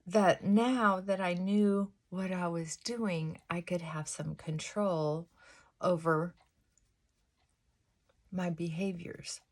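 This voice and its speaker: A middle-aged woman chats slowly and thoughtfully while getting ready in the morning. Her fairly high voice is held down on purpose, and she drops into soft whispered asides. She speaks in a confessional, reflective way. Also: fairly even intonation. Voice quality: fairly high-pitched voice she works to pull down. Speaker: vlogger. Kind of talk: casual get-ready-with-me chat.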